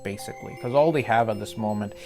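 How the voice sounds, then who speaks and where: A man's voice: fairly low pitch, quick pace, a little mellow, faint music behind. Casual, rambling male reaction host. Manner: informal, rambling, searching for words. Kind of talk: casual commentary on game cinematics.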